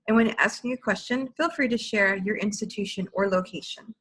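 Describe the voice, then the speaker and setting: A woman's voice: mid-range voice, brisk, fairly bright tone, slightly rough, poor audio. A woman hosting an online webinar, reading a formal scripted welcome and ground rules over a video call. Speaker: webinar host and program manager.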